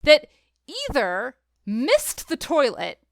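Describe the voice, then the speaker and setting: A woman's voice: sits high, very animated, takes it slowly, gravelly, a bit bright, no room sound, studio quality. A woman chatting casually and opinionatedly about film, emphatic and exasperated, as a podcast co-host. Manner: emphatic, exasperated ranting with acted-out quotes.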